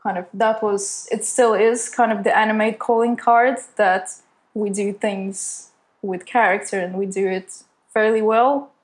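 A woman's voice: medium pitch, steady tone, measured pace. A young woman who is not a native English speaker, an animator answering interview questions in a casual, hesitant way full of fillers. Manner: hesitant, lots of "kind of" fillers. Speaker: animator at a studio.

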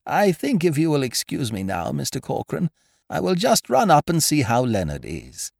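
A man's voice: fairly high pitch, wildly swooping pitch, normal speed, gravelly, darkish tone, no room sound, studio quality, pitched above the performer's own voice, heavily acted. A man voicing a fussy elderly aristocratic lady in a storybook, speaking primly and fretfully. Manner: prim, fretful, genteel.

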